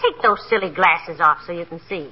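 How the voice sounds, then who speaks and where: An actress playing a sensible, long-suffering American wife with gently wry wit, in a 1940s radio comedy. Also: female voice, on the low side, fairly quick, lightly acted. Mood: weary, patient. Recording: noisy, dull vintage 1940s radio recording.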